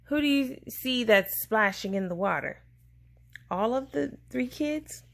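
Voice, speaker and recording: A woman reading a children's science book aloud to a child in a deliberate, explaining way, pausing to answer questions. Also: fairly high pitch, lively intonation, vocal fry.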